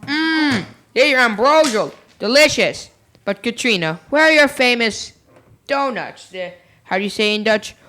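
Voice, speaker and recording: A woman's voice: on the high side, lively intonation, unhurried, sharp tone. A young female performer playing a fussy, pompous male schoolmaster in a school radio play, with flowery, correcting speech. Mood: pleased, flowery. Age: young teenager. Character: Ichabod Crane, fussy, pompous schoolmaster and singing teacher.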